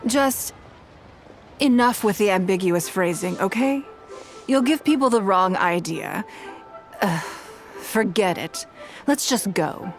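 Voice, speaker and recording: Game role: cool, refined noblewoman, medium register, measured and formal, slightly weary of nonsense, music underneath. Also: medium pitch, expressive. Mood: exasperated. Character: poised, dignified noblewoman, cool and faintly exasperated. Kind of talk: video game voice acting.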